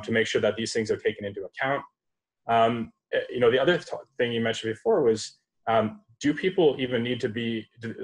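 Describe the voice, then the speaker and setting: A man in his thirties speaks on a laptop video call from home. His voice sits somewhat low and the words tumble out quickly. He thinks aloud as a panelist, restarting sentences and filling gaps with ums. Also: poor audio. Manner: thoughtful, many fillers and restarts. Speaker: panelist, startup executive. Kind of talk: online panel discussion. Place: home room, indoors. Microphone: laptop webcam video call.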